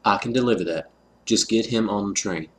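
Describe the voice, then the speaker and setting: A man's voice: lowish voice, brisk, breathy edge. A man playing an anxious railroad man in a Western fan audio drama, earnest and formal as he pleads and concedes. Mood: earnest, bargaining.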